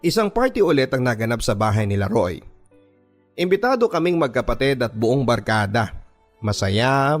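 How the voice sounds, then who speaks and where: A man reading a listener's letter aloud as a radio drama narration, telling the story in first person in an earnest, measured way. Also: medium pitch. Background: faint background music.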